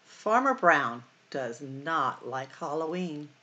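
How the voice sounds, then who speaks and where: A middle-aged woman hosting a library storytime, reading picture books aloud in a deliberate, expressive way for young children. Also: sits low, lo-fi sound. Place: small room at home or office, indoors.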